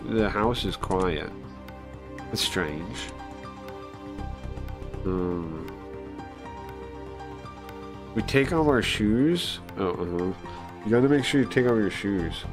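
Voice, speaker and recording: Slow, low-voiced man streaming a casual read-through. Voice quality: low-pitched voice. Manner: halting, searching for words, informal slang. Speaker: streamer reading a visual novel aloud. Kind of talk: casual live read-through, translating and chatting.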